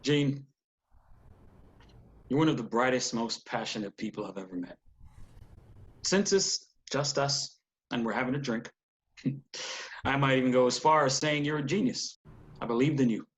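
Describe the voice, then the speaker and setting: A man acts out a frank, disappointed old colleague in a script reading. His voice is medium in pitch and his delivery is measured and direct. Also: natural voice, poor audio. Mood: frank, regretful. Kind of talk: screenplay table read acting.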